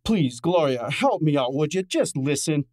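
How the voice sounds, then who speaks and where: A man playing a brisk, wry detective's assistant who narrates a hard-boiled radio drama in a clipped, matter-of-fact way. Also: fairly high pitch, animated, darkish tone, no echo, studio quality, lightly acted.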